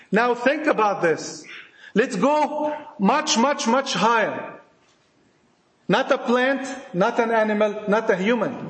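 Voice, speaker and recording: A man with an Egyptian accent delivers a sermon in a very high voice. He is emphatic and repeats his points, firing rhetorical questions at the congregation. The sound bounces around an echoey hall. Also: a bit bright. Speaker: preacher. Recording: echoey room.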